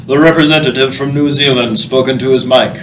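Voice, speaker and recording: Casting: male narrator, medium pitch, radio-play storytelling, distant mic with clear background noise. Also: steady tone, a little fast, fairly bright tone. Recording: echoey, distant, noisy.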